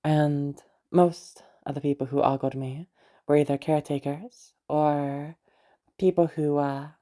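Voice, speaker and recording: A woman playing a teasing, flirtatious, unhinged patient in a roleplay audio drama, rambling hesitantly with many pauses and hums. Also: sits low, fairly even intonation, mellow. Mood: wistful confession. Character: teasing, rambling, flirtatious crazy female patient confessing to her doctor. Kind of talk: roleplay audio drama, intimate one-sided conversation.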